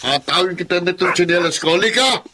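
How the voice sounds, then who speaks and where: A man's voice: sits high, expressive, a little fast, very noisy. A man playing an exasperated, agitated older brother in a Filipino TV drama. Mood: irritated. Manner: scolding, agitated.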